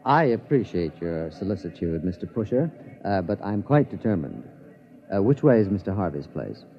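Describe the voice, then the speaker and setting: An actor playing an earnest, naive, stubborn scientist with formal, precise academic phrasing in a 1950s radio drama. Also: male voice, sits low, big pitch swings, normal speed. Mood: determined. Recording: dull, noisy vintage radio recording.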